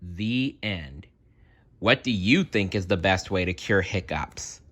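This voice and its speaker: Very slow, animated low-pitched storybook reader. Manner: slow, expressive, addresses young listeners directly. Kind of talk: animated children's read-aloud narration.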